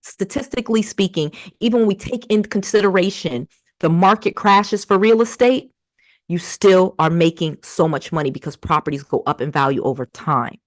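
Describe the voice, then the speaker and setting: A woman's voice: lowish voice, animated, moderate pace, dry recording. An American woman in her forties from Connecticut talking casually and emphatically, coaching and motivating listeners on a livestream, persuasive and fond of repeating key phrases. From Connecticut, United States. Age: 40s. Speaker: real estate investor and coach hosting a livestream.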